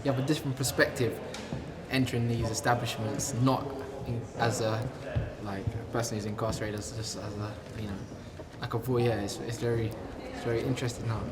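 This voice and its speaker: Man reflecting amid loud crowd chatter. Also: medium pitch, steady tone, fairly quick. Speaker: former prisoner, interviewee. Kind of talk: casual reflective interview.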